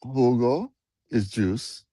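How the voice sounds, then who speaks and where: A man's voice: medium pitch, lively intonation, drawn-out words, warm tone, no room sound, clean studio sound. A man teaching Spanish in a casual chat, patient and spelling words out letter by letter. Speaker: guest Spanish speaker.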